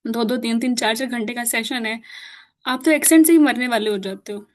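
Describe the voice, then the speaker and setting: Chatty woman teacher with a high voice. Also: fairly quick. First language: Urdu. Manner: chatty, laughs often, mixes English into Urdu-Hindi. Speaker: course teacher. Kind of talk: informal online class talk.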